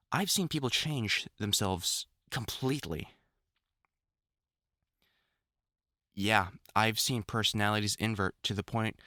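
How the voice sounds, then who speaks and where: A man playing an older, experienced vampire hunter in an intimate audio roleplay, talking to the listener in a halting, wry, earnest way. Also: lowish voice, sharp tone, no room sound, clean studio sound, exaggerated voice. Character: gruff, caring older experienced male vampire hunter, mentoring.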